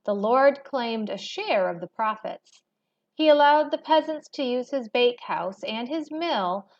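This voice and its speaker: Slow-paced woman reading aloud, higher-pitched voice. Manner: measured, expository reading. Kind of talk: read-aloud of a history textbook. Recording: dull, lo-fi recording.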